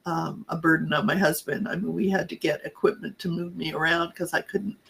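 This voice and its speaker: Scratchy, husky-voiced woman co-host. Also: fairly low pitch, fairly even intonation, fairly bright tone. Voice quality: scratchy, raspy voice. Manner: halting and reflective, trails off mid-thought. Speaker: podcast co-host and coach. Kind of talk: personal storytelling in casual chat.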